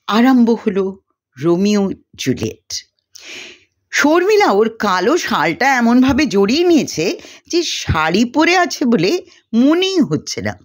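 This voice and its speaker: A woman narrating a story in an expressive, dramatized storytelling style. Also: on the high side. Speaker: story reader.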